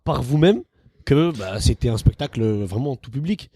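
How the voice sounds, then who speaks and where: Young french comedian guy chatting, mellow tone, dry close sound, acts out quotes. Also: mid-range voice, moderate pace, breathy edge, dry recording. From northern France. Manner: animated, acts out quotes in shifted voices. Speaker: comedian guest. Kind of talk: casual podcast chat.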